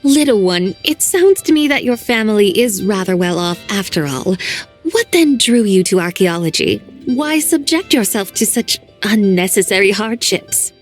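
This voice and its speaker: A calm, aloof woman in disguise, voiced by an actress talks in a composed, archly formal way. Her voice sits at a middle pitch but moves expressively. Faint music plays underneath. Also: moderate pace, lightly acted. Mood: teasing. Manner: composed and archly formal, calls others little one. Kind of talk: game voice acting.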